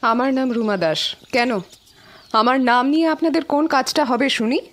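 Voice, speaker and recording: A woman's voice: high voice, normal speed, a bit bright. An actress playing a curt, defiant middle-aged recluse in an audio drama, challenging whoever she faces. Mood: curt, suspicious.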